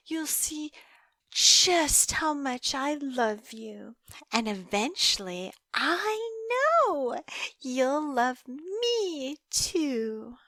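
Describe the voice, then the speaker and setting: Woman playing a slow, cooing, obsessive young yandere girl. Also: high voice, bright, forceful tone, no echo, heavily acted. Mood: adoring, obsessive. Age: young adult. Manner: cooing, teasing, slow and deliberate, breaking into whispers. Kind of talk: intimate scripted roleplay monologue.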